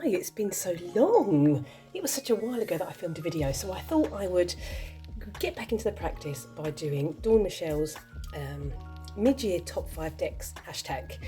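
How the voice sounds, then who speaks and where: A woman talking to camera in a rambling, chatty way with lots of ums, her voice croaky from a cold. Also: lowish voice, warm tone. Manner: chatty, rambling, self-deprecating, many ums. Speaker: tarot vlogger. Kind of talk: casual chat to camera.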